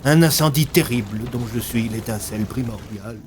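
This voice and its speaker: A man's voice: medium pitch, brisk, close to an everyday voice. A troubled, brooding investigator played by a male narrator in an audio story. His voice sits in the middle range, grave and hesitant, with music beneath. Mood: sombre.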